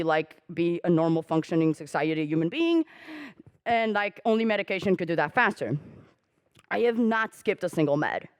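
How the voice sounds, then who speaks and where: A woman's voice: smooth, no room sound, studio quality. A young adult woman whose first language is Portuguese, giving a candid, self-mocking conference talk on stage, swearing casually and acting out quoted conversations. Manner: acts out quoted dialogue with jumps in pitch. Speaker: conference speaker.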